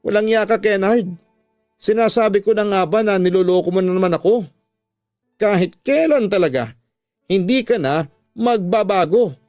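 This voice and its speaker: A man's voice: high-pitched, normal speed, long pauses, a little mellow, no echo, studio quality, soft background music, pitched above the performer's own voice. A man voicing a tearful, angry young woman confronting her cheating boyfriend in a radio drama. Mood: tearful, accusing. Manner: accusing, wounded.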